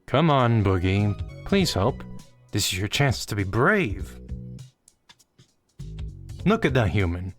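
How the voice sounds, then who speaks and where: A man voicing a small, gentle digital creature who speaks earnestly and coaxingly. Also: fairly low pitch, big pitch swings, slow pace, pauses often, faint music behind.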